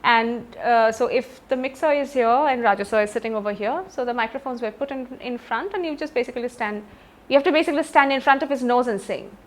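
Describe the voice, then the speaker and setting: A woman, a film playback singer, chatting in an interview about her career and pausing often to recall names. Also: on the high side. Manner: chatty, anecdotal, many pauses to recall names.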